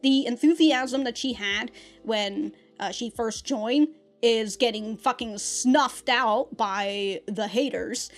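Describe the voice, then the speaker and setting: Young woman, reaction vlog, higher pitch, casual swearing rant, faint background music. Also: takes it slowly. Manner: swearing, ranting, laughing often, many fillers. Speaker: anime reaction YouTuber. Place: small room indoors with green-screen backdrop.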